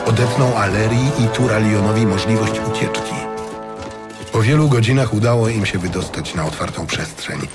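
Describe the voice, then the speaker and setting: Low-voiced man narrating over loud music. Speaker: audiobook narrator. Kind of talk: dramatic scripted narration of a fantasy tale. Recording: music behind the voice.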